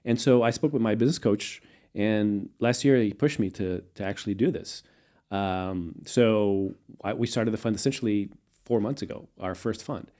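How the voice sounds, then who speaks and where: Mellow low-voiced man telling his story. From United States, raised in Portugal. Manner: measured, many fillers and restarts. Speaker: guest, venture capitalist. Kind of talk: casual interview answers.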